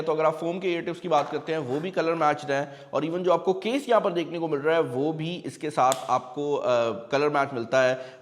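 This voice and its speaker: A man's voice: high voice, long reverb tail. A man giving a casual, conversational product review to viewers, explaining as he goes and mixing in English. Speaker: tech reviewer and host.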